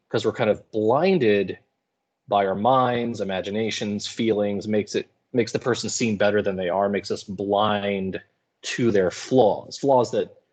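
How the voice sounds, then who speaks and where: A male teacher with a fairly low voice gives informal lecture commentary. He explains in a colloquial, playful way with wry asides. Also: a little mellow. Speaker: high school English teacher.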